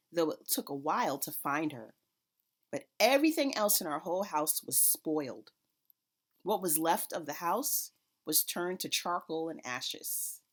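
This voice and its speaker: Low-pitched female narrator, expressive read-aloud of a picture book for young children. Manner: expressive, acts out characters' dialogue. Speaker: school principal reading a picture book aloud.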